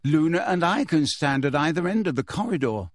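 A man's voice: on the high side, expressive, brisk, no echo, studio quality. A man voicing a plucky, cool-headed teenage girl in an audiobook, with a direct manner. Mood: prompt, game. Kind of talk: audiobook character voice.

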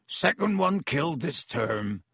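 A man voicing a huge, kindly, gruff outdoorsman in a storybook, with a hearty, informal, concerned manner. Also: on the high side, very animated, a little slow, a bit bright, no room sound, studio quality, heavily acted. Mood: explaining.

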